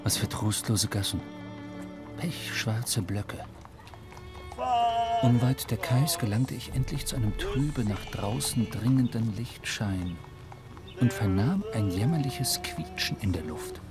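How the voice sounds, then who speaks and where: A man's voice: mid-range voice, very animated, slow. A man playing a wry, brooding seafarer who narrates his own adventure in a literary radio drama. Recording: clear music behind the voice.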